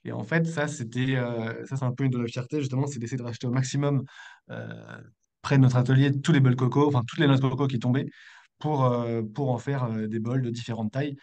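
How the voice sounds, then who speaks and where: A man's voice: medium pitch, expressive, fairly quick, gravelly, dark tone. A man in his thirties, an entrepreneur telling his story casually as a podcast guest, informal and a little hesitant. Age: 30s. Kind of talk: casual spoken account in an interview.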